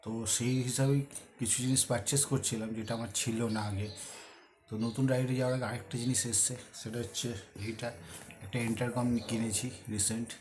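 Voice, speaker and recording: A man's voice: mid-range voice, level tone, fairly quick. A man with an Indian Bengali accent talking casually as a vlogger during an unboxing, informally mixing in English words. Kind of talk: casual unboxing vlog talk. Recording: very noisy amateur vlog recording.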